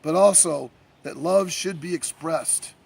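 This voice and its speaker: A man with a gravelly voice reading a scripted monologue as theatrical courtroom oratory, declamatory and emphatic, with dramatic pauses. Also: a little high. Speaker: writer reading own essay.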